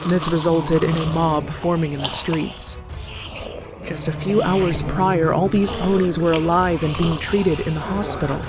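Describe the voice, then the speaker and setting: A woman narrating a scripted horror story as a storyteller. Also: low voice. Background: clear background music.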